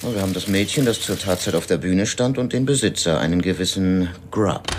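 An actor playing a wry, slightly crude police detective reporting to a superior in a radio crime drama, offhand and hesitant. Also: male voice, low voice, a little fast, lightly acted. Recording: clear background noise and scene ambience.

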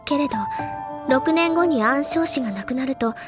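A woman's voice: high-pitched, moderate pace, lightly acted. An actress playing an earnest, bookish senior schoolgirl in an audio drama, speaking articulately and dramatically like a storyteller. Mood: intense storytelling. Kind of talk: Japanese audio drama acting, literary storytelling. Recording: loud background music under voice.

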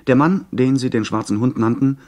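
A man's voice: medium pitch, a little fast, a little mellow, close to an everyday voice. An actor playing the narrator of a radio adventure, telling his own story in scripted, dramatic prose. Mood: gripping, measured. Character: male storyteller recalling his pirate adventure.

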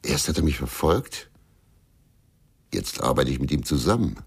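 An actor playing a bitter, brooding former police investigator in a radio crime drama, speaking tersely. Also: male voice, extremely low pitch, lively intonation, moderate pace, exaggerated voice. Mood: wary, brooding. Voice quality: gravelly.

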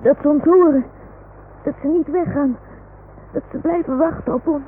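A woman playing a small, earnest boy in an old radio drama, speaking slowly in simple childlike phrases. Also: high-pitched, natural voice. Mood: anxious, pleading. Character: small earnest boy talking to his toy dog. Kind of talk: 1960s Dutch radio drama acting. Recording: noisy, muffled old radio recording.

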